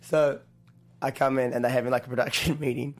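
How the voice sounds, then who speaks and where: A man with a New Zealand accent, a choreographer telling stories as an interview guest, animated, laughing often and restarting his sentences. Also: medium pitch, brisk. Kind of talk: casual interview chat.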